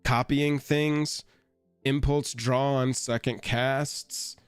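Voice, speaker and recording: A man's voice: mid-range voice, steady tone, extremely slow, clear, resonant tone, no echo, faint music behind. A young man, co-hosting a card game live stream in a jokey, playful way and reading a sponsor spot. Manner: jokey, playful, breaks into silly voices. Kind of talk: casual live-stream chat and sponsor read.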